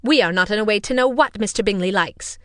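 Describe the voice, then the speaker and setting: A woman voicing a fretful, excitable middle-aged mother in a storybook reading, exclaiming and complaining. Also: a little high, normal speed, no room sound, clean studio sound, voice pushed up. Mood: resentful.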